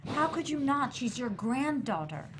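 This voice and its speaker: Woman acting a higher-pitched, exasperated protective mother. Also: sing-song, measured pace, noisy background. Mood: pleading. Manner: pleading, exasperated. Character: protective, exasperated middle-aged mother and grown daughter. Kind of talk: table read of a TV pilot script.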